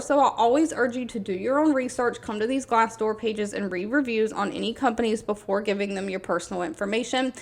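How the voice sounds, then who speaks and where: High-pitched woman presenting information. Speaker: video host sharing job listings. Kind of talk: informational vlog narration, semi-scripted.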